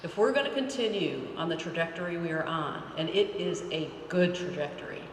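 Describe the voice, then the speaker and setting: A police chief giving an earnest, emphatic graduation speech and asking rhetorical questions. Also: male voice, a little high, a little fast, a little hiss or hum. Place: large indoor hall. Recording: echoey hall from far off.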